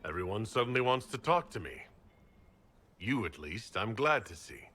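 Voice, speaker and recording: An actor playing a resolute, courteous heroic leader in a video game, speaking in a measured, earnest way. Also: male voice, lowish voice, big pitch swings, sharp tone, slight background noise. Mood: warm, relieved. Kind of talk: video game cutscene acting.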